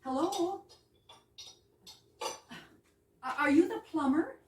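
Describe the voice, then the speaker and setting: A woman's voice: very high voice, animated, normal speed, darkish tone. A woman playing a flippant, playful young lady chatting from her bath in an amateur readers theater comedy. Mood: bold, demanding. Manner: saucy, breezy, playful. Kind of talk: amateur readers theater of a 1920s comic play. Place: indoor readers theater stage, distant microphone. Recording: roomy distant stage recording, faint noise.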